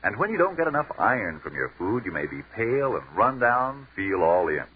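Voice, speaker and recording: A man's voice: fairly low pitch, very animated, fairly quick, husky. A man announcing and reading a commercial in a persuasive, emphatic 1940s radio style. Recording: tinny old radio broadcast.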